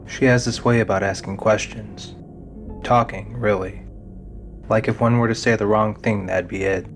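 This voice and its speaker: A man's voice: fairly low pitch, steady tone, normal speed. A man narrating a scripted fantasy audio drama in the role of storyteller. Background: music under the narration.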